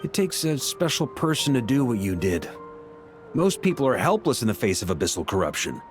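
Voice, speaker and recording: A man's voice: mid-range voice, big pitch swings, a little fast, close to an everyday voice. An actor playing an easygoing male knight in a video game, talking in a casual, wry and reflective way over background music. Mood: reflective, sincere.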